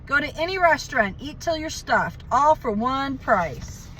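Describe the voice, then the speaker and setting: Woman voicing slangy young slacker man, easily spooked, storybook character, high pitch, faint background noise. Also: sing-song, measured pace, sharp tone, heavily acted, rough recording. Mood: easygoing. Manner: slangy, exclamatory, chummy. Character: laid-back, easily spooked, food-loving young man.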